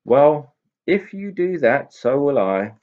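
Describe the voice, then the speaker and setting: A man voicing a strict, brisk aunt from a children's story, firm and no-nonsense. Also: fairly high pitch, sing-song, phone-quality sound, voice pushed up. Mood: pleased. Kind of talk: children's storybook character reading.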